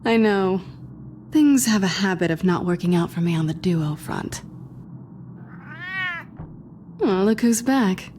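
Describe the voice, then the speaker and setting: A woman playing a sly, guarded young cat burglar with dry wit in a video game, teasing and sardonic in an intimate, low-key way. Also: expressive, normal speed, darkish tone, a little hiss or hum, lightly acted. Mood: wry, playful. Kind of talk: naturalistic video game acting.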